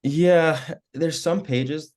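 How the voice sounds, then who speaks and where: Hoarse man explaining on a work call. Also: fairly high pitch, drawn-out words. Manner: relaxed, explanatory, clears throat often. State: hoarse, losing his voice. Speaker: web team member giving training. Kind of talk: screen-share walkthrough on a work call.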